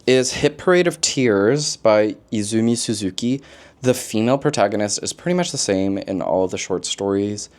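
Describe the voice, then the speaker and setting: A man chatting casually as a book vlogger, expressive and slangy, with playful asides. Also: lowish voice, moderate pace. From lives in Korea, origin unstated. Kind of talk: casual chat, book recommendations to camera.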